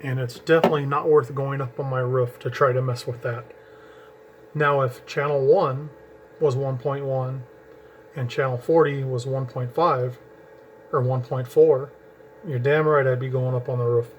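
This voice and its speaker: A man talks through a hands-on demonstration at an ordinary pitch, rambling and restarting as he handles his gear. A faint noise sits under his voice. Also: fairly even intonation, moderate pace, muffled recording. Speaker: CB radio hobbyist. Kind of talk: casual how-to demonstration. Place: at home with radio gear. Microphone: camera mic, handling gear while talking.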